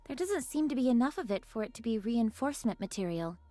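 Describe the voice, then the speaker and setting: A woman's voice: fairly high pitch, lively intonation, fast, warm tone, lightly acted. A woman voicing a composed, practical young squad leader in an anime-style game, polite and matter-of-fact.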